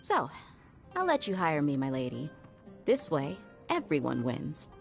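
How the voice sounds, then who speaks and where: A woman's voice: deep voice, wildly swooping pitch, measured pace, gravelly, soft background music, exaggerated voice. A woman playing a wry, easygoing, practical bodyguard with a casual, teasing manner in a video game.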